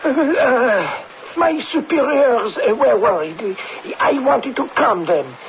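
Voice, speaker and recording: A man's voice: very high-pitched, animated, full character voice. A man in an old radio drama playing a shifty, self-justifying army major who hems and haws as he talks. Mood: nervous, evasive. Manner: halting, hemming and hawing. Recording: noisy muffled old radio recording.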